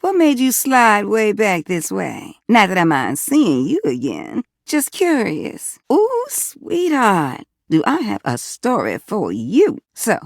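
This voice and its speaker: A woman's voice: medium pitch, huge pitch swings, slow pace, creaky, few pauses, no room sound, studio quality, heavily acted. A woman voicing a flirty, teasing, gossipy household appliance in a video game, with a Southern US accent and sweet-talking manner. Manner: sweet-talking, teasing, drawling terms of endearment. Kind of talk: video game character voice acting.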